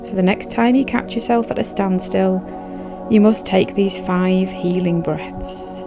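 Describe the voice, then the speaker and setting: A woman narrating a guided meditation in a soothing, measured, instructive manner. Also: medium pitch, steady tone. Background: background music.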